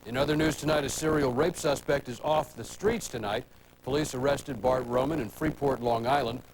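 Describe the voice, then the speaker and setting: A man's voice: medium pitch, normal speed. An American man reading scripted television news as an anchor. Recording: clearly noisy broadcast recording.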